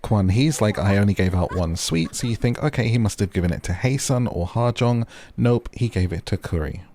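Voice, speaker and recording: A man with a deep, low-pitched voice hosts a casual recap. He talks in a chatty way and slips wry asides into his scripted commentary. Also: a little fast, mellow, a little hiss or hum. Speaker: host of a recap channel.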